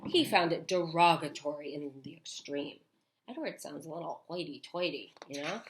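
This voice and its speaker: A woman teacher reading a storybook aloud to children, expressive, with chatty asides. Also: deep voice, normal speed, background noise. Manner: animated, with chatty asides to young listeners.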